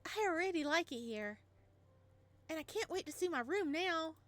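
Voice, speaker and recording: A woman's voice: extremely high pitch, animated, moderate pace, fairly bright tone, pitched above the performer's own voice. A woman voicing a sweet, eager little girl, a devoted daughter in a visual novel. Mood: excited. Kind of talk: visual novel character read-aloud.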